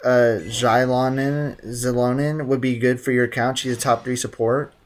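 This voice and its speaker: A man's voice: medium pitch, little pitch movement, moderate pace. A man streaming a video game, casually rambling, joking and chatting with viewers. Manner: rambling, joking, talks to chat. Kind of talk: casual gameplay commentary.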